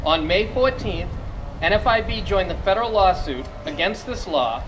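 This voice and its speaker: A man's voice: high voice, lively intonation, moderate pace, noisy background. A man with a Massachusetts accent delivers a prepared political speech at a rally, speaking formally and emphatically as an advocacy spokesman. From Massachusetts, United States. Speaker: small business advocacy spokesman.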